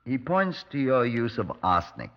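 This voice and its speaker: An actor playing a measured, courteous middle-aged British Scotland Yard superintendent, narrating and questioning in a 1950s radio drama. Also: male voice, medium pitch, big pitch swings, lightly acted. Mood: measured, probing. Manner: measured, polite, probing. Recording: muffled 1950s radio recording.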